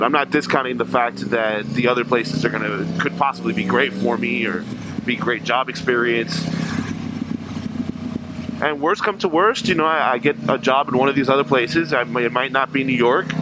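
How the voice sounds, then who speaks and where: A young man with a cold-stuffed nasal voice, chatting casually for a vlog while riding a motorcycle, rambling and correcting himself. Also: mid-range voice. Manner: rambling, self-correcting, upbeat. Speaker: vlogger. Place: outdoors on a motorcycle in traffic. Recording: loud traffic noise behind.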